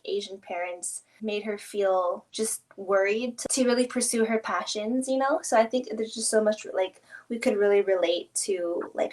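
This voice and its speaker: A woman's voice: medium pitch, poor audio. Female host, casual and upbeat, keeps affirming her guest. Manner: enthusiastic, affirming, chatty. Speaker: interview host. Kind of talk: casual interview chat.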